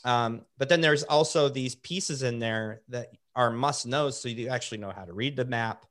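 A man's voice: mid-range voice, moderate pace, fairly bright tone. A man hosting a casual interview, upbeat and often restarting his sentences. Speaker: host interviewing gym coaches.

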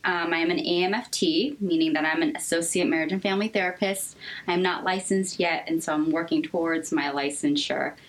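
A young adult American woman talking candidly as an interview guest, thoughtful and halting in her delivery. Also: fairly low pitch. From United States, raised in Seattle.

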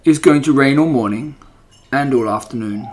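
A man's voice: medium pitch, normal speed, a little mellow, slight background noise, lightly acted. A man voicing a young, lonely male pig who talks in an earnest, pleading way in a storybook reading. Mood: forlorn.

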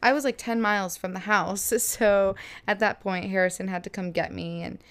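A woman in her twenties from Florida, telling a casual story in a chatty, lively way with many fillers and laughs. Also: mid-range voice, moderate pace. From Florida, USA. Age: 20s. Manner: chatty, animated, lots of "like" and laughs. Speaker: vlogger.

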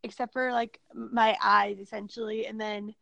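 Creaky-voiced Minnesotan woman co-host. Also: a little high, level tone, moderate pace, bright, forceful tone, no echo. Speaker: podcast co-host. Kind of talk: casual chat.

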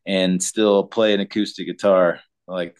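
A man from Colorado talks about his music as a guest, relaxed and unhurried. His speech often stalls on ums and restarts. His voice sits fairly low. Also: clear, resonant tone. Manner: laid-back, halting, many ums. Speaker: guest singer-songwriter. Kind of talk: casual interview chat.

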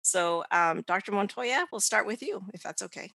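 A woman's voice: mid-range voice, animated, moderate pace, vocal fry, pushed and bright, no echo. A woman from the United States hosting a podcast, giving prepared introductions. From United States, child of Mexican immigrants. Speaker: podcast host.

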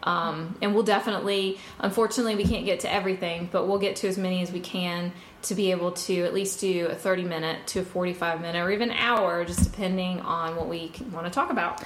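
A woman's voice: lowish voice, steady tone, fast, hardly any pauses. A woman in her thirties from the Carolinas with a Southern accent, co-hosting a casual chat podcast in a rambling, laughing, slightly nervous way. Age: 30s. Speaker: podcast co-host. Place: home room without padding. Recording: echoey room with faint noise.

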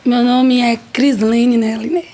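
A woman, a restaurant owner telling her life story in a relaxed podcast interview, lively and full of jokes. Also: on the high side, measured pace. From Brazil. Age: 40s. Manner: lively, joking, emphatic storyteller.

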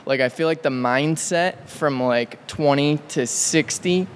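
A man's voice: a little high, slight background noise. A man in his late twenties, a podcast guest, chatting casually and drawing out his words with lots of "like". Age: 20s. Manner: laid-back, drawn-out speech with many likes. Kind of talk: casual chat. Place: large studio.

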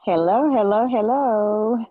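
A woman's voice: mid-range voice, slow pace, no echo. A woman in her forties with a Zimbabwean accent, chatting casually as a guest in an interview, on a slightly muffled recording. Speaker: podcast guest, nurse practitioner.

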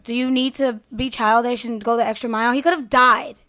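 A woman in a casual chat who states her opinions bluntly and holds her ground. Her voice sits a little higher than most. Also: steady tone, brisk, bright, forceful tone.

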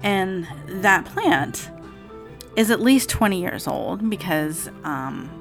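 A woman in her forties talks at home in a low, raspy voice, meandering through her thoughts with plenty of ums and restarts. Faint music plays softly from another room behind her. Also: lively intonation, slow. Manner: rambling, meandering with many fillers. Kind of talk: casual personal vlog monologue.

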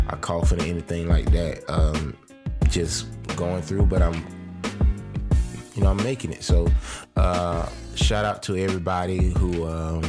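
Deep-voiced stuffy man hosting a podcast. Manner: loose, rambling, with many fillers and restarts. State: stuffy, congested from sinuses. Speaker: podcast host; preacher. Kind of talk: casual podcast monologue.

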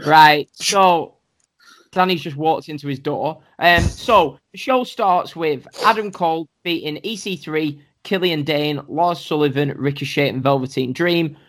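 A host talks through a wrestling show in a loose, chatty podcast style. The voice sits at an ordinary middle pitch and keeps turning to the co-hosts for agreement. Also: unhurried, a bit bright. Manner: chatty, rundown of matches with asides to co-hosts. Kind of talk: casual wrestling podcast chat.

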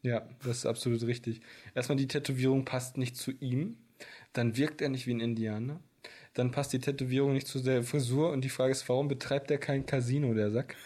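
A man's voice: medium pitch, fairly even intonation, brisk, darkish tone. A man co-hosting a podcast, rambling and joking in casual banter, with a mild Berlin accent. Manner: rambling, joking, prone to tangents. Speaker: podcast co-host. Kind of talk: casual banter between friends.

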